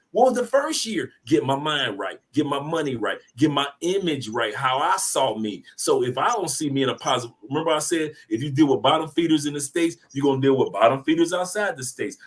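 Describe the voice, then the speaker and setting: A man's voice: on the high side, a bit bright. A man in his fifties lecturing emphatically in a live discussion, repeating his points for force. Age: 50s. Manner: preachy, emphatic, repeats phrases. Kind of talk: passionate call-in discussion.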